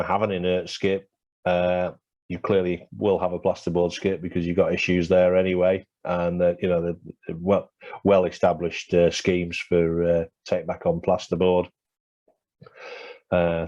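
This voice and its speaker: A man's voice: sits low, warm tone, dry recording. A man presenting a webinar and answering questions, talking with many fillers and restarts, his voice going. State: losing his voice. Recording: dull lo-fi webinar audio.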